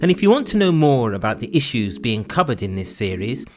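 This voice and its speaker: A man's voice: medium pitch, sing-song, fairly quick. A man hosting an interview podcast in a courteous, formal manner. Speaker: podcast host.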